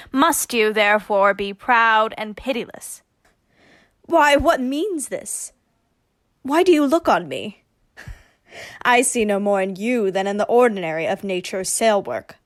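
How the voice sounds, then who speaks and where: A woman plays a bossy, teasing heroine posing as a youth in a Shakespearean radio play. Medium-pitched and recorded very dry, the delivery is theatrical and scolding. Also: fairly bright tone, no room sound. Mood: scornful.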